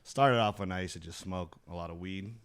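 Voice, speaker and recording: A man speaking as a podcast guest in casual group chat, laid-back and joking, a bit halting. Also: low-pitched, a bit bright.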